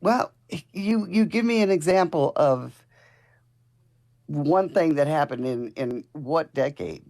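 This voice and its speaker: A woman in her sixties with a low voice, a stubborn, defensive caller arguing on a call-in show. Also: takes it slowly, darkish tone. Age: 60s. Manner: stubborn, defensive, talks over the host. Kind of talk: call-in argument.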